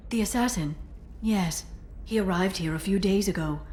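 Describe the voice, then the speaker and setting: A woman voicing a composed alien information broker in a video game cutscene, speaking softly and in a measured way. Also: mid-range voice, a little hiss or hum, close to an everyday voice, low-quality recording. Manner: soft-spoken, measured, quiet intensity.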